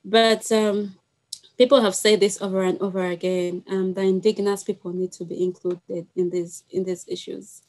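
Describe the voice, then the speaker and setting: A woman's voice: medium pitch, flat delivery, moderate pace, a little mellow. A woman in her thirties with a Ugandan accent, speaking as a panelist on a video call, choosing words hesitantly and thoughtfully. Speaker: guest panelist, wildlife researcher. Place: small indoor room. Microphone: headset on a video call.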